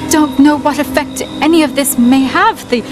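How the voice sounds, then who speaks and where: A woman's voice: sits high, normal speed, close to an everyday voice. An actress playing a worried, principled witch, earnest and cautioning, in a fantasy game drama. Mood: concerned. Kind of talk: dramatic game cinematic acting. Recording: loud music behind the voice.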